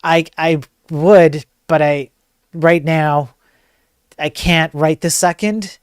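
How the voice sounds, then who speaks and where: A man with a soft voice chatting casually to viewers as a livestream host, in an informal, joking, rambling way. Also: fairly high pitch, steady tone, takes it slowly, smooth, no room sound, clean studio sound.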